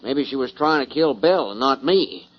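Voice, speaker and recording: An actor playing a surly, hot-tempered rodeo cowboy with an American Western accent in 1940s radio drama. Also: male voice, mid-range voice, lively intonation, moderate pace, close to an everyday voice. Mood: indignant. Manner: folksy grammar, combative. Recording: muffled lo-fi 1940s radio broadcast.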